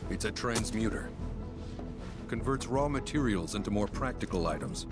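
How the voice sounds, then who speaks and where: An actor playing a calm older male townsman who quietly helps fugitives, speaking low and measured over game music. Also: lightly acted. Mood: hushed. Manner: low measured reassuring delivery. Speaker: game character. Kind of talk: video game cutscene voice acting. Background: loud game music.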